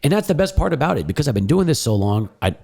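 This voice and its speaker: Animated middle-aged man, radio guest. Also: very fast, gravelly. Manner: animated storyteller, swings high and low. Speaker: guest, sports radio host. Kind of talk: casual interview chat. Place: podcast studio.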